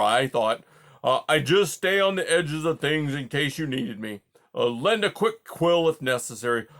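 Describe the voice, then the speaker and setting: A man's voice: medium pitch, gravelly, sharp tone, full character voice. A man voicing a grumpy old male porcupine who huffs, sputters and swears in silly made-up oaths.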